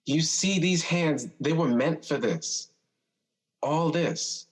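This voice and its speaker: Slow, philosophical older brother. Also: male voice, on the high side. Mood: reassuring. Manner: measured, musing. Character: gentle, philosophical young man, wistful older brother figure. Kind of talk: audio drama dialogue.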